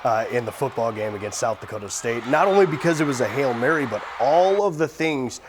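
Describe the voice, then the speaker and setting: A man's voice: medium pitch, lively intonation, a little fast. A male sports commentator with a mid-range voice. He chats casually as a panelist, and there is faint noise behind him.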